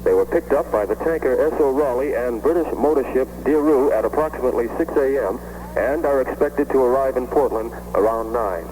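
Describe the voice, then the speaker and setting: A man's voice: mid-range voice, fairly quick, warm tone, long reverb tail, slight background noise, rough recording. A man giving an on-scene news report as a field reporter for vintage radio.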